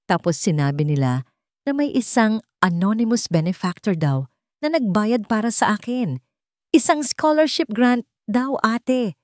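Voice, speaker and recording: A woman with a Filipino accent voicing an excited, grateful young student, a gushing younger brother in an audio story. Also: mid-range voice, unhurried, dry recording, studio quality, voice pushed up, close to an everyday voice. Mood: overjoyed, excited. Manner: exclaiming, gushing with joy.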